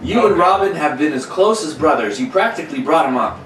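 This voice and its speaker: A man's voice: on the high side, lively intonation, brisk, fairly bright tone. Man playing an earnest superhero reporter, distant and noisy. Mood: confronting. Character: earnest, determined newspaper reporter who is secretly a superhero. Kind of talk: live reading of a 1940s radio serial. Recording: roomy, noisy, distant stage microphone.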